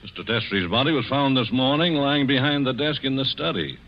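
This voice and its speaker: An actor playing a firm, methodical police inspector who questions a suspect in a 1940s radio drama. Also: male voice, medium pitch, lively intonation, brisk, close to an everyday voice. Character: shrewd male police inspector, fatherly and firm. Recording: muffled 1940s radio broadcast with faint hiss.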